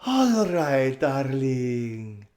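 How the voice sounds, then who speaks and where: A man voicing a flighty, self-absorbed young noblewoman, gushing and drawling in a high, giggly voice for a storybook reading. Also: big pitch swings, drawn-out words, a little mellow, roomy sound. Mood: breezy, self-absorbed. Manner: gushing, drawling, calls everyone darling.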